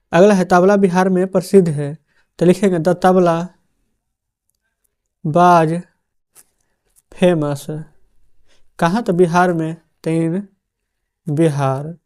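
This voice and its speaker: A man's voice: high-pitched, darkish tone. A male teacher dictating a translation lesson word by word, often checking in with his viewers. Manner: dictates the answers word by word, addresses viewers as friends.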